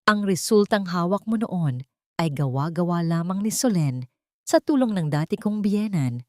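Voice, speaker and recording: A narrator plays a wronged woman who will not forgive, in an audio drama. She is cold, controlled and steely, speaking in a lowish female register with dramatic weight. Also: lively intonation, normal speed, dry recording, clean studio sound, lightly acted. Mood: cold accusation. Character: wronged, steely, unforgiving woman confronting her betrayer.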